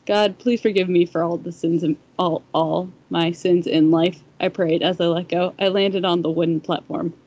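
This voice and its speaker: A woman's voice: fairly low pitch, fairly even intonation, normal speed, hardly any pauses, darkish tone, dull audio. A woman reading a first-person story aloud on a podcast, sometimes stumbling over the words. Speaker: fan fiction podcast reader.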